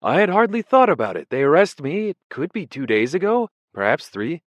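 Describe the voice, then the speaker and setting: A man's voice: a little high, big pitch swings, brisk, dry recording, dull audio, pitched above the performer's own voice. A man voicing a nervous, pedantic male poet held as a prisoner, speaking vaguely and with fussy indignation. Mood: bewildered, pedantic.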